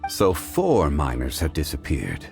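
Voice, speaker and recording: An actor playing a composed, refined, learned gentleman in a video game, with formal, measured, analytical delivery. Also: rumbling low, wildly swooping pitch, vocal fry, music in the background, heavily acted. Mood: calm, inquiring. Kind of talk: English video game voice acting.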